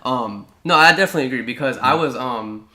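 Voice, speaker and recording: Male host, casual chat, bright tone, roomy echo, talks in restarts. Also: mid-range voice, fast talker. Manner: rambling, restarts often. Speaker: podcast host.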